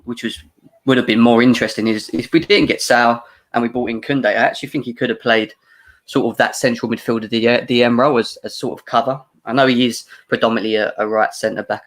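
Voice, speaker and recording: A man on a podcast panel who talks quickly and loosely, tripping over his own words and circling back as he goes. He sounds like an everyday fan chatting over a video call. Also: mid-range voice, fairly bright tone. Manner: fast, rambling, repeats words. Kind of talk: casual football chat.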